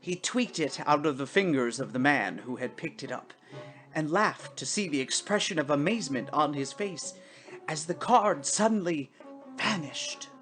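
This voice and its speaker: A woman reading a story aloud as dramatic scripted narration, with deliberate theatrical phrasing. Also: deep voice, lively intonation, fairly bright tone, faint music behind. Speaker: story reader.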